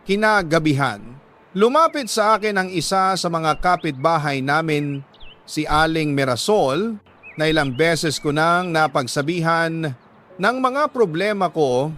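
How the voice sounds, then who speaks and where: A Filipino man reads a first-person letter as dramatic scripted narration, in short, measured phrases. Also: on the high side, expressive, a bit bright.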